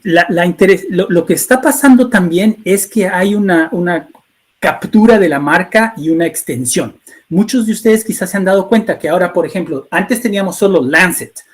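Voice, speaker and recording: A middle-aged man from Ecuador speaks in a fairly high register as he lectures in a webinar. He talks loosely, restarting phrases and checking in with tag questions. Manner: frequent fillers and restarts, rhetorical tag questions. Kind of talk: lecture-style talk.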